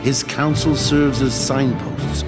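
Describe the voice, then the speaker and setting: A man playing a stern but caring middle-aged master in a fantasy game cutscene, speaking in formal, solemn, measured phrases. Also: mid-range voice, steady tone, natural voice. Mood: solemn, troubled. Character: stern, caring older master of young warriors. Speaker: mentor and master. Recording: music loud behind the voice.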